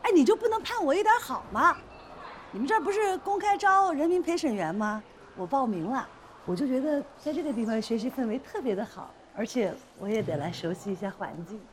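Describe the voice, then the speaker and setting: An actress playing a pushy, flirtatious shop owner in a screen drama, insistent, teasing and quick to take offence. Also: female voice, high-pitched, animated. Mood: eager. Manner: insistent, teasing, indignant, theatrical. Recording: noticeable background noise and ambience.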